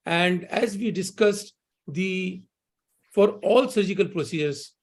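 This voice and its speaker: High-voiced man answering webinar questions. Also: darkish tone. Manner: fluent, optimistic, repeats "you know" and "I think". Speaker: surgeon and webinar speaker.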